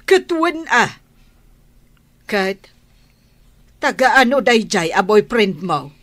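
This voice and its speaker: A woman's voice: mid-range voice, sing-song, slow, fairly bright tone. An actress with an Ilocano Filipino accent playing a doubtful, questioning middle-aged mother in a radio drama.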